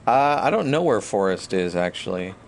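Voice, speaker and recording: A man's voice: lowish voice, big pitch swings, normal speed. A man chatting casually with viewers and thinking aloud in rambling fashion as he streams a video game. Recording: clear background noise.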